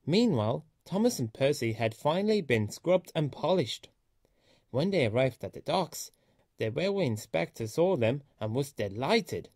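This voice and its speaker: A man reading a children's story aloud as an expressive storyteller. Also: fairly high pitch, moderate pace, dry recording, studio quality.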